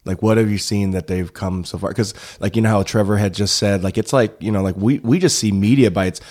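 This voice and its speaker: A man's voice: on the low side, brisk, darkish tone. A man co-hosting in casual chat, with blunt, informal interjections and probing follow-up questions. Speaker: co-host.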